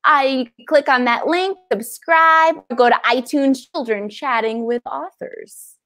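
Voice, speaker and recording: A woman's voice: high voice, slow pace, bright, forceful tone. A woman in her thirties hosting an online event in an upbeat style, speaking on a video call. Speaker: host of a library event. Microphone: wired earbuds on a video call.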